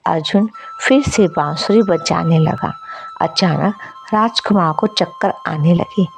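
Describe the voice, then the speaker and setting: A woman's voice: lowish voice, slow pace, vocal fry. A woman reading scripted narration for a story as its narrator.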